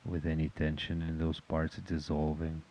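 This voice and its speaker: Very deep-voiced man guiding meditation. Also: a little hiss or hum. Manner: calm, measured, instructional. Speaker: meditation guide. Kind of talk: guided meditation.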